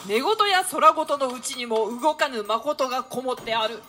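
Woman doing gloomy lovesick young guy, high voice, old-timey stage talk, clear music under it. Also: normal speed, bright, forceful tone. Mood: reluctant, downcast. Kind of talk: radio drama in archaic theatrical Japanese.

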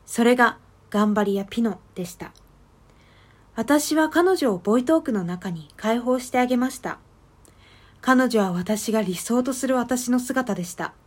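Woman playing a sorrowful, higher-voiced confessing woman. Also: expressive, moderate pace. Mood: wistful. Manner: gentle, earnest, confessional. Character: sorrowful, self-loathing woman leaving a farewell message. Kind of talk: radio drama monologue.

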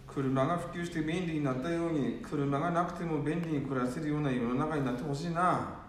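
A man's voice: a little high, brisk, close to an everyday voice. A man playing an earnest, ordinary working son in an amateur radio drama. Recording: very echoey room, faint noise.

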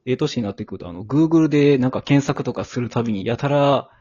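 A man's voice: medium pitch, lively intonation, fast, warm tone. A middle-aged man with a Kansai accent chatting casually, with a joking, self-deprecating way of talking. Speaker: amateur actor and scriptwriter. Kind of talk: casual chat. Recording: muffled lo-fi audio.

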